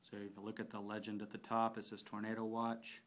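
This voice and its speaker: A man narrating an unscripted software demonstration, explaining step by step with halting phrasing and repeated words. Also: fairly low pitch, monotone. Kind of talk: unscripted app tutorial narration.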